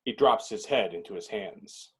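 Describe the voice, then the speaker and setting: A man with a husky voice narrating a script in a clipped, descriptive reading style. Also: lowish voice, moderate pace, fairly bright tone.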